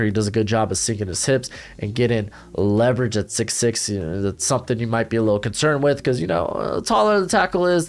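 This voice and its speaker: Slangy, joking young man. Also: medium pitch. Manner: loose, joking, slangy with frequent fillers. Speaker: draft analyst host. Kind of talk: casual video commentary.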